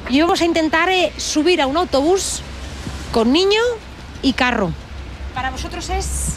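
A Spanish woman in her thirties reporting from a city bus with a handheld mic, asking lively questions. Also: extremely high pitch, moderate pace, a little hiss or hum. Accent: Spanish from Spain. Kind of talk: on-the-street news report with voice-over. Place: city bus and bus stop, outdoors.

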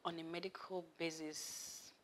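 Nigerian woman guest, lowish voice but really bright, lively storyteller, bit of hiss, studio lapel mic. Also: measured pace. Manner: animated storyteller, rises loud and high when excited. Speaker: massage therapist, guest. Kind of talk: casual interview chat. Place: studio set with sofa, indoors.

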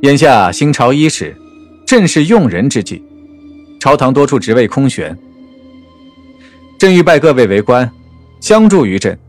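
An actor playing a suave, gentle-mannered young usurper emperor, measured and courtly, in a period audio drama. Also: male voice, mid-range voice, big pitch swings, faint music behind. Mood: courteous, composed.

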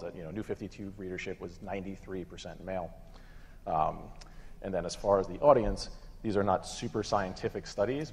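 Very deep-voiced man presenting in echoey hall. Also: a little mellow. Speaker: panel moderator and presenter. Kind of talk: conference presentation, semi-casual. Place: conference room stage with audience. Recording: echoey hall PA, clear background noise.

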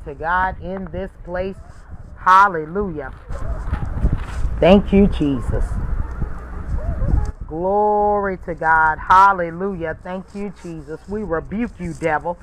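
A man leading fervent charismatic prayer as a preacher, forceful and repeating exclamations of praise. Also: sits high, fairly even intonation, slow pace, pauses often, a little hiss or hum, poor audio.

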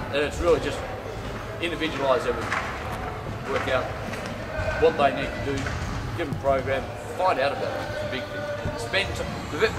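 Australian male coach in a noisy, echoey gym. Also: mid-range voice. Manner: conversational, explanatory, plain-spoken. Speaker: basketball coach giving a clinic. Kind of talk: informal coaching talk. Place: large indoor basketball gym. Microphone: camera mic at a distance. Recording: distant, echoey gym, heavy background noise. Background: crowd, bouncing basketballs, loud ambience.

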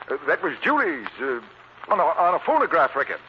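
An actor playing a weird, frightened old swindler with a nervous stammer, in old radio drama style. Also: male voice, a little high, wildly swooping pitch, fairly quick. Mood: frightened, pleading. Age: older. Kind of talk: 1940s radio drama acting. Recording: muffled, noisy old radio recording.

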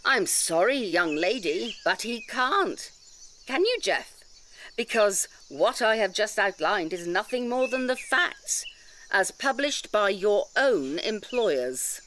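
A woman's voice: mid-range voice, sing-song, slow pace, fairly bright tone, a little hiss or hum. A woman playing an indignant nature-reserve campaigner in an audio drama, lecturing emphatically with pedantic detail and rhetorical questions.

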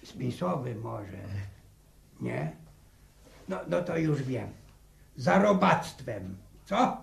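A man playing a chatty, nosy old village grandfather with a creaky voice and stammering, folksy manner in a 1960 radio drama. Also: mid-range voice, sing-song, drawn-out words, heavily acted. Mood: inquisitive. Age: older. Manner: stammering repetitions, folksy, inquisitive. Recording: roomy old radio studio recording.

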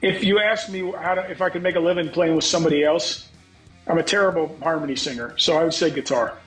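A middle-aged man with a scratchy voice answers in a frank, reflective way and swears now and then. His voice sits a little high. The call audio sounds echoey, as if he is in a bare room at home. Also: fast talker, fairly bright tone, soft background music. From United States. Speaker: guest songwriter. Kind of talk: candid interview talk.

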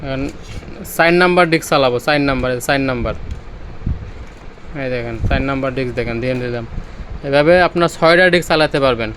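A man's voice: medium pitch, fast talker, long pauses, a bit bright, slight background noise. A man with a Bangladeshi accent giving a casual, repetitive sales demonstration of audio equipment.

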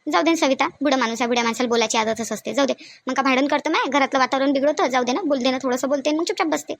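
Woman as a high-voiced, peacemaking daughter-in-law. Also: very fast, creaky, no room sound. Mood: resigned. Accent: Varhadi (Vidarbha) Marathi. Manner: placating, reassuring. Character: patient, peacemaking elder daughter-in-law of the house. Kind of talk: cartoon family comedy acting.

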